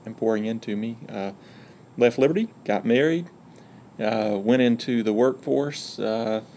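A man's voice: medium pitch, a little slow, darkish tone, a little hiss or hum. A middle-aged man with a Georgia Southern accent, a university provost chatting as a podcast guest in a folksy, joking, frank way. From Georgia, USA. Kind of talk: casual interview chat.

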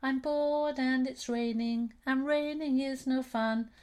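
A woman voicing a weepy, lonely female teddy bear who wails a drawn-out singsong lament. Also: high voice, pitched above the performer's own voice. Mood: mournful.

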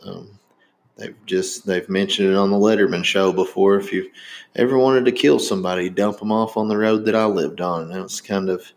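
A man's voice: low voice, steady tone, normal speed. A man in his thirties from Indiana with a Southern accent, hosting a podcast in casual, rambling chat. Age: 30s. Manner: rambling, many fillers and restarts. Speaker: podcast host.

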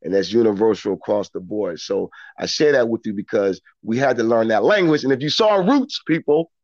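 A man speaking as a podcast guest in casual, reflective conversation, earnest and halting, often repeating his words. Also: lowish voice, animated, no room sound, highs cut off.

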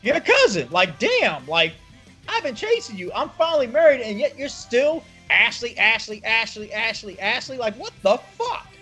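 A man's voice: very high-pitched, very animated, moderate pace, pushed and bright, faint music behind, pitched above the performer's own voice, exaggerated voice. A man voicing an exasperated, spurned husband in an indignant, cursing rant.

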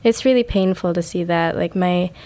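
Soft-spoken woman, Urdu her first tongue. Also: lowish voice, normal speed. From United States. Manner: reflective, hesitant, many fillers. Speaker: podcast guest. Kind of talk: personal storytelling.

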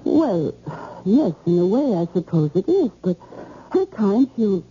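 A woman's voice: mid-range voice, very animated, measured pace, dark tone. A woman playing a devoted, anxious wife in an old-time radio drama, coaxing and emotive. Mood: coaxing, reassuring. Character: devoted, soothing, anxious wife of a troubled man. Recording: dull, hissy old radio recording.

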